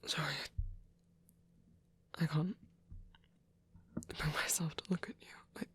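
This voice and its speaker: A performer voicing a gentle, shy, protective male vampire priest in an intimate ASMR roleplay, soft and breathy, speaking in hesitant, tender phrases. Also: medium pitch, level tone, slow, close to an everyday voice. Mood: nervous, apologetic.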